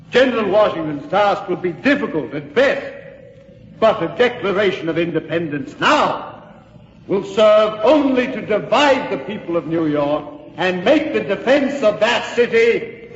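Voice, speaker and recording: High-voiced, slow, pleading colonial orator. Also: male voice, a bit bright. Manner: grave, oratorical, deliberate. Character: impassioned colonial delegate pleading against independence. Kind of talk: golden age radio drama oratory. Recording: dull old radio recording, echoing hall.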